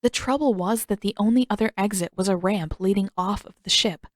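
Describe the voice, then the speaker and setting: Woman reading a fantasy story out loud, medium pitch, really dry recording. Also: a little fast, dry recording. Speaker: audiobook narrator reading own fan fiction. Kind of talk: scripted story narration.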